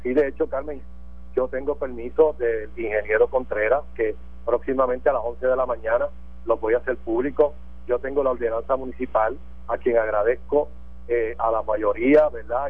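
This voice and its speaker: A man's voice: medium pitch, breathy edge, no room sound. A man, a mayor speaking formally about local measures in a radio phone interview. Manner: formal, official-sounding. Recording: tinny dry phone line.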